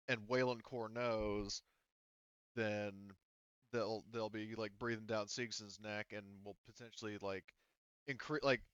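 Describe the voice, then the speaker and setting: A man's voice: mid-range voice, a bit bright, smooth, no room sound, dull audio. A man running a tabletop game, talking casually as game master and explaining options in a rambling, hedging way.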